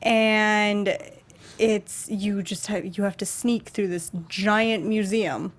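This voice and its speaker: American woman chatting emphatically about gaming. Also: mid-range voice. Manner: animated storyteller, halting, emphatic repetition. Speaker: talk show host. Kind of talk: casual chat about gaming experiences.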